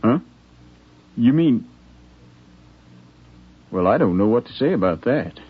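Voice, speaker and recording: An actor playing a friendly, plain-spoken frontier townsman in a 1950s radio drama, folksy and gently persuasive. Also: mid-range voice, sing-song, slow, slightly rough, full character voice. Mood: amiable, puzzled. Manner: folksy, hesitant, coaxing. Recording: thin, phone-like 1950s radio broadcast.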